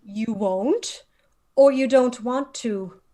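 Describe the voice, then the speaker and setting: Commanding, composed high-voiced actress as female leader. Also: slow, warm tone. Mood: firm, grave. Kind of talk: table read of a screenplay.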